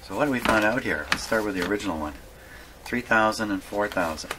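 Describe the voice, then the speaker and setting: A man giving a casual hands-on workshop demonstration, thinking aloud in a halting mutter and repeating his words. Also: lowish voice. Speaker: hobbyist radio repairer presenting his workshop video. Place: radio repair workshop. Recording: clearly noisy workshop recording.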